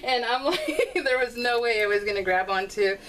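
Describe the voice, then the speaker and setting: A middle-aged woman chatting casually and telling animated, giggly stories while she works on a scrapbooking project. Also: fairly high pitch, normal speed, low-quality recording. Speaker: scrapbooking vlogger. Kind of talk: casual storytelling while crafting.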